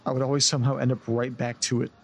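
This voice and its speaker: Actor as a shaken, halting man, husky. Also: mid-range voice, flat delivery. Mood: defensive, haunted. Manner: halting, earnest, breaking off mid-sentence. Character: distressed man recounting a haunted-house ordeal, desperate to get home. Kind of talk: audio drama acting, naturalistic.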